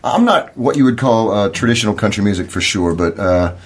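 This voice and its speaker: A man's voice: fairly low pitch, lively intonation, fairly quick, husky. An American man from Southern Illinois chatting casually as a musician guest in a radio studio. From Southern Illinois, USA. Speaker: country musician, interview guest. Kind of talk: casual interview chat.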